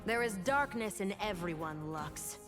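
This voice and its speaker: A woman's voice: medium pitch, lively intonation, a little slow, lightly acted. A woman playing a bitter, brooding fallen celestial of darkness in a video game, speaking with dry scorn and an ominous manner. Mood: grim contempt. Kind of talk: video game character voice lines. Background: music.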